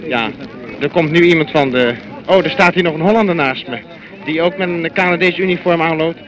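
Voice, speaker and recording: A man's voice: a little high, brisk, a bit bright. A male radio reporter improvising live 1940s outdoor commentary, repeating phrases, on a dull old recording. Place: outdoors in a crowd. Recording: dull 1940s recording, crowd noise behind. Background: crowd noise.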